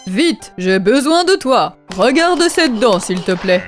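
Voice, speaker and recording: A dramatic cartoon animal in rhyming children's dialogue. The voice is fairly high and very animated, yet unhurried, with a pleading manner. Soft music sits underneath. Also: female voice. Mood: urgent, pleading. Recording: light music behind.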